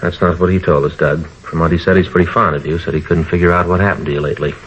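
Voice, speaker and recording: A steady, plain-spoken police detective in a 1950s radio drama. His voice is very low and quick, calm and direct. The recording is dull, with light noise. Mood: calm, firm. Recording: muffled, faintly noisy old radio recording.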